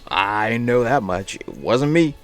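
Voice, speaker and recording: A man's voice: mid-range voice, expressive, takes it slowly, faint music behind. A man livestreaming, casually chatting with viewers and reading aloud in a rambling, playful way that often repeats phrases. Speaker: live streamer.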